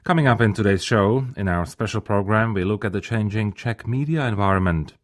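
A man presenting a radio magazine show, reading a scripted introduction in a measured announcer delivery. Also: lowish voice.

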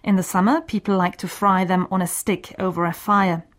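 A woman hosting a scripted radio language course, explaining things in a clear teaching manner. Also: mid-range voice, steady tone, moderate pace.

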